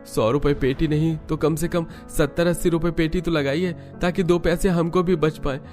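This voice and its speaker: Young village son , male actor for a cartoon: higher male pitch, earnest, plaintive farmer. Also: steady tone, moderate pace, a little mellow, soft background music, close to an everyday voice. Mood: pleading. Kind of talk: Hindi cartoon story dialogue.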